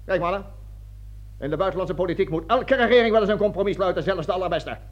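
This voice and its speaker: An actor playing a pompous, opportunistic army colonel in a radio play, speechifying grandly between barked commands and hushed asides. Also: male voice, high-pitched, fast. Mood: oratorical persuading. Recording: dull, lo-fi radio play recording.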